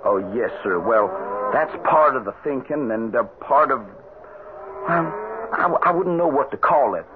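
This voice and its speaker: An actor playing an earnest, respectful young cowhand in a radio western, with a folksy, hesitant manner. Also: male voice, medium pitch, expressive, normal speed, phone-quality sound, close to an everyday voice. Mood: earnest, hopeful. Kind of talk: old-time radio western acting. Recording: noisy, band-limited old radio recording.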